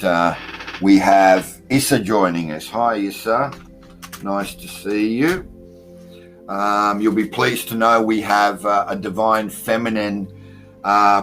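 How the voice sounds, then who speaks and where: Low-voiced chatty male radio host. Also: fairly bright tone. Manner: loose and chatty, many fillers, greets listeners by name. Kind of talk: casual call-in radio hosting. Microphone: computer mic at a desktop.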